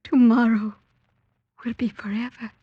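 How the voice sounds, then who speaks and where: A woman acting a tender, pleading young sweetheart in an old radio drama, breathy and often whispering. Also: a little high, flat delivery, a little slow, no echo. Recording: muffled old radio recording.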